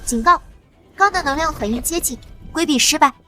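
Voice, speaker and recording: A woman voicing a formal machine assistant that makes weapons-system announcements in an animated sci-fi comic. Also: high-pitched, fairly quick, faint music behind. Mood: warning.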